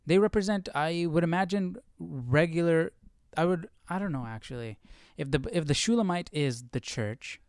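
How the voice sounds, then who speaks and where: A man hosting a live Bible study, reading and commenting casually in a musing, questioning, halting way. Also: fairly high pitch, clear, resonant tone, dry recording, clean studio sound.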